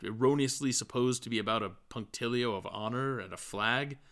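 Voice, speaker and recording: A man reading a literary novel aloud as an audiobook, in expressive narration. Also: medium pitch. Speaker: audiobook reader.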